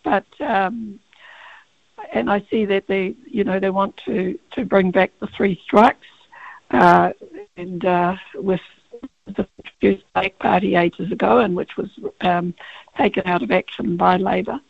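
A woman with a croaky, gravelly voice, a barrister speaking as a guest on a radio interview over the phone, halting with many fillers and restarts. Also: medium pitch, steady tone, no room sound. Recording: tinny phone line.

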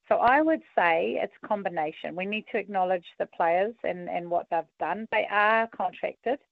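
A woman talks in an easy, upbeat way, peppered with likes and kindas. Her voice is husky with a gravelly edge. It comes through a tinny, dry phone line. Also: on the low side, no room sound. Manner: relaxed, filler-heavy, upbeat. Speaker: rugby union deputy chair, guest. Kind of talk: casual radio interview answers.

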